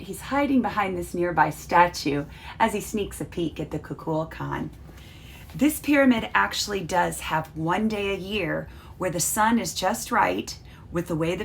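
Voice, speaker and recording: Lower-pitched woman teacher reading to children. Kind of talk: storybook read-aloud and explanation for children. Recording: faint background noise.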